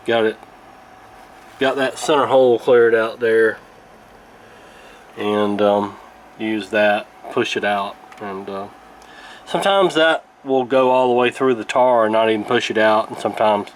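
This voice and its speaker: A man giving a casual hands-on repair tutorial in a halting, unscripted, explanatory way. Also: mid-range voice. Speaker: radio repair video host. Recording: clear background noise.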